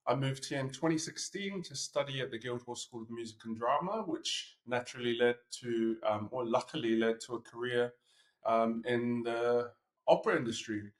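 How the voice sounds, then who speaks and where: A man's voice: medium pitch, moderate pace. A man with a New Zealand accent, an opera singer, chatting playfully as a podcast guest. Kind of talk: casual interview chat. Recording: roomy echo.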